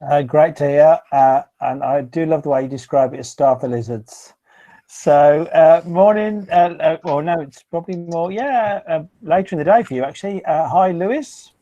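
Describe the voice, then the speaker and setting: A man's voice: fairly high pitch, normal speed, dark tone, lo-fi sound. A man hosting a webinar call, introducing guests with friendly, hesitant fillers. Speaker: webinar host. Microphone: video call.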